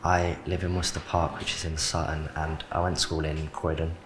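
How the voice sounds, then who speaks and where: Very deep, flat-voiced South London teen. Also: male voice, a little fast, background noise. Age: late teens. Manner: short, plain answers with filler "like". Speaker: academy footballer. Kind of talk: answering interview questions. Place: indoor club media studio. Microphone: handheld mic. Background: background music.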